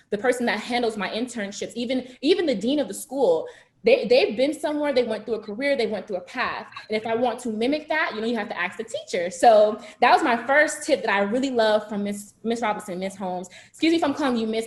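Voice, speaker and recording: Fast-talking young woman. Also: on the high side, reverberant. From southern United States. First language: Haitian Creole. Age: 20s. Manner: fast-talking, earnest, with many restarts. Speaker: panelist. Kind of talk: panel discussion remarks. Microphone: video call.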